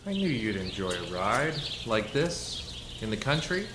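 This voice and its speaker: Easygoing companion with lively swoops. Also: male voice, lowish voice, measured pace. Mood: relaxed, breezy. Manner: breezy, reassuring. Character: easygoing male companion on a country outing. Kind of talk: radio drama acting. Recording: loud birdsong and vehicle noise.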